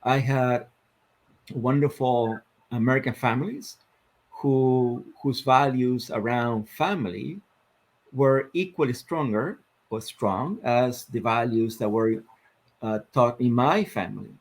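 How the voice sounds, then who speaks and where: A man in his fifties from Argentina with an Argentine Spanish accent, a guest telling his life story in a reflective, measured way with many fillers. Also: medium pitch. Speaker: guest, sports psychologist. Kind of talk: interview storytelling.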